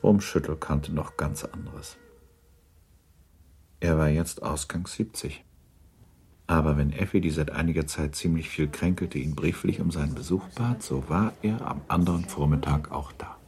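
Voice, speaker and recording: A man narrating a classic novel for a radio drama as a sober, measured and matter-of-fact storyteller. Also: a bass voice, darkish tone.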